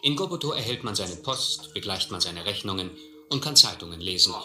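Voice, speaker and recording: An actor playing a seasoned, restless South Seas captain, measured and earnest, in a German radio play. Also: male voice, sits low, expressive, bright, forceful tone, full character voice. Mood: reflective. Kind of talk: German radio play narration, literary and scripted. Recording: roomy recording with music behind.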